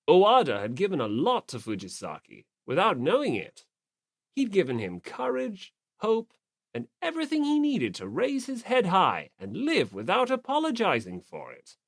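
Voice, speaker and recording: A woman's voice: medium pitch, dry recording, clean studio sound. A woman reading a story as a scripted audiobook narration.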